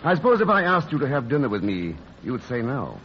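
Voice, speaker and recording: A man's voice: mid-range voice, wildly swooping pitch, fast talker. A man playing a suave, flirtatious playboy in a 1940s radio romantic comedy, polite and hesitant in his charm. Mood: smooth, flirtatious. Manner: hesitant, polite, smooth-talking. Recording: muffled, noisy old radio broadcast.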